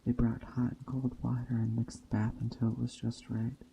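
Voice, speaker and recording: Hushed, very flat, creaky ASMR storyteller man. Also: mid-range voice, moderate pace, dull audio, a little hiss or hum. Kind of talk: soft-spoken ASMR reading of a Greek myth tale. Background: soft nature ambience.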